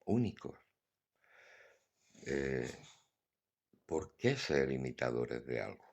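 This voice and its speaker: A man's voice: rumbling low, sing-song, takes it slowly, vocal fry, darkish tone. An older man, talking bluntly and earnestly about acceptance in a casual philosophical monologue. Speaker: podcast host.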